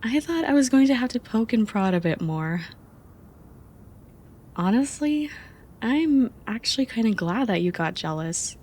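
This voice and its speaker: Woman acting a soft, mellow, affectionate young friend. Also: medium pitch. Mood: teasing, then tender, nervous. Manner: soft, playful, expressive. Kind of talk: intimate ASMR roleplay acting.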